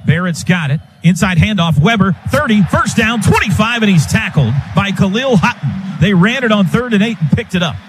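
A man's voice: high voice, lively intonation, moderate pace, hardly any pauses. An American man calling football live on the radio in clipped phrases that follow the action. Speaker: radio play-by-play announcer. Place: stadium radio booth. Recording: loud stadium noise behind voice. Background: loud stadium ambience.

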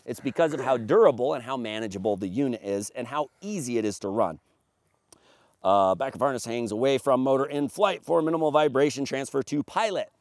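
A man's voice: medium pitch, brisk, darkish tone, no room sound, clean studio sound. A man in his forties giving an emphatic, salesman-like walkthrough of his product outdoors in a grassy field, reading points off a sheet. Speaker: paramotor maker demonstrating his gear. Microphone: camera at a few meters, standing and gesturing.

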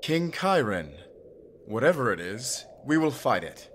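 A man playing a blunt, defiant, gruff warrior in a fantasy audio drama. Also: medium pitch, very animated, a little slow, a little hiss or hum.

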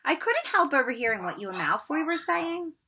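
A woman's voice: high-pitched, big pitch swings, brisk, bright, forceful tone, voice pushed up, exaggerated voice. A woman voicing a bossy, disapproving schoolgirl with a scolding manner in a storybook. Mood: scolding, indignant.

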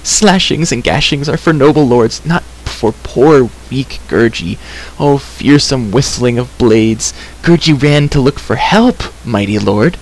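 A man voicing a small shaggy creature from a storybook, whimpering, grovelling and self-pitying in drawn-out wails. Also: medium pitch, animated, voice pushed up, rough recording. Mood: whimpering, pleading. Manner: whimpering, wailing, drawn-out rhyming third-person speech. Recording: clear background hiss.

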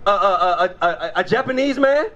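A man in his thirties street preaching outdoors into a handheld mic, forceful and questioning, often asking whether he is understood. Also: high voice, lively intonation, brisk, highs cut off. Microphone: handheld mic through a speaker.

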